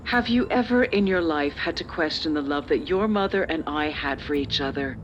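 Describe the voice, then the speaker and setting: A woman's voice: deep voice, expressive, muffled recording, slight background noise. A middle-aged woman playing a calculating, slightly condescending corporate official and parent in a dramatic video game. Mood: persuasive, insistent. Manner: measured, persuasive, slightly condescending. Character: older female corporate official and parent, calculating, persuasive, patronizing.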